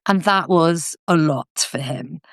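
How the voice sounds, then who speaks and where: A woman's voice: sits low, takes it slowly, a bit bright, dry recording, studio quality. A British woman telling her story casually as a podcast guest. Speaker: podcast guest, business owner.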